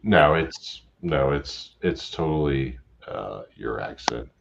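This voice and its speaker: Super deep dude on a muffled call line, rambles, lots of uh and like. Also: male voice, expressive, creaky. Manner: rambling, halting, lots of fillers. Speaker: guest caller. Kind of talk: casual live chat. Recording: dull lo-fi call audio with faint noise.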